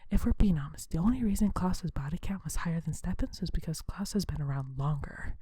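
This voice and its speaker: Asmr lady whispering, dark mellow breathy voice, hushed and chatty, no rush. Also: low-pitched, expressive, brisk, no room sound. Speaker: ASMR video host.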